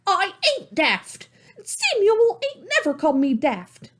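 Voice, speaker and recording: A man's voice: very high voice, wildly swooping pitch, slow pace, a bit bright, pitched above the performer's own voice, heavily acted. A man voicing a hot-tempered, defiant young female ferret with folksy grammar. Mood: indignant.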